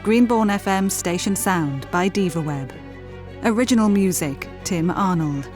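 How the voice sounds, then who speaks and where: Woman announcer reading end credits, lowish voice, light music behind. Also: lively intonation, unhurried, a little mellow. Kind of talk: scripted closing credits read.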